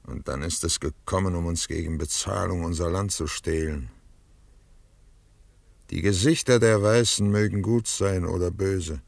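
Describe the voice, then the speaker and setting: An actor playing a dignified older chief in a German Western radio drama, grave, stern and deliberate. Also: male voice, low-pitched, gravelly, darkish tone, no echo, recorded from a distance. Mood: bitter, solemn. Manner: solemn, deliberate, reproachful.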